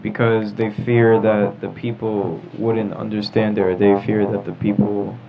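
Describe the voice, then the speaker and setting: A man's voice: lowish voice, little pitch movement, measured pace. A young American man leading a casual group discussion as a podcast host. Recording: muffled audio with group chatter behind.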